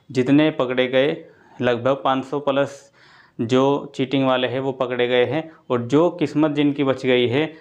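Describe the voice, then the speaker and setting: A man's voice: medium pitch, muffled recording. A man giving students an informal, explanatory update, repeating key points as he advises them. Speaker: exam coaching presenter.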